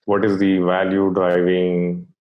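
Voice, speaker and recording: A man hosting an interview, asking questions in a measured, hesitant way. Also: low-pitched, monotone, narrow, tinny audio. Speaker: interview host.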